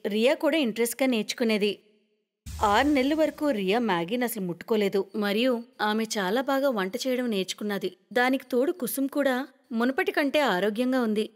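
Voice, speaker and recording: A woman's voice: on the high side, a little fast. A woman narrating a scripted moral story. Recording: long reverberant hall echo.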